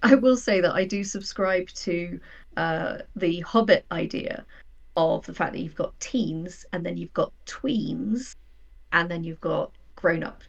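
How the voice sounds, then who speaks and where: A woman's voice: lowish voice, measured pace, vocal fry, lo-fi sound. A woman in her thirties chatting casually as an interview guest, explaining her creative work with rhetorical questions. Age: 30s. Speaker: guest writer of audio drama and fiction.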